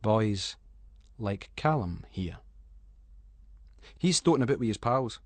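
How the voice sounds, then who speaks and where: A man's voice: lowish voice, expressive, takes it slowly, no echo. A man with a Scottish accent giving a dramatic, suspenseful narration of a story in colloquial Scots dialect.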